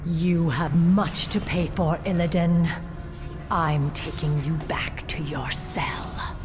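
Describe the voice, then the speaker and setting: Stern, low-voiced elf warden. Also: female voice, fairly even intonation, takes it slowly, over music. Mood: defiant, stern. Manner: grave, cautious, formal. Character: stern, resolute female night elf warden. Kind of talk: dramatic video game voice acting.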